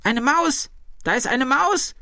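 Guy doing a confused old woman for an audiobook, high swoopy voice, suspicious n accusing. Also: lively intonation, takes it slowly, pushed and bright, no room sound, clean studio sound, full character voice. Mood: alarmed. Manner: indignant, accusing outbursts.

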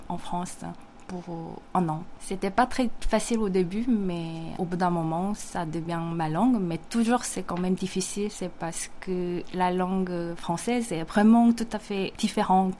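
Young Korean-accented woman, comic artist guest, halting careful answers with frequent pauses. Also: on the low side, darkish tone, slight background noise. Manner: hesitant, frequent fillers, careful non-native phrasing. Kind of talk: interview answers.

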